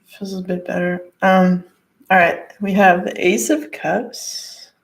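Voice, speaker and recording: A hoarse woman with a gravelly voice doing a casual tarot and crystal card reading in her living room, rambling with lots of fillers. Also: on the low side, little pitch movement, slow pace. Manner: rambling, lots of "like" and "you know".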